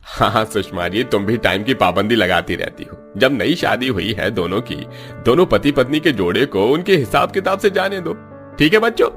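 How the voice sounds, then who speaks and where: A man's voice: mid-range voice, lively intonation, moderate pace, faint music behind, natural voice. A man playing an easygoing middle-aged father in a cartoon story, with a fatherly, indulgent manner. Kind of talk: Hindi cartoon story dialogue.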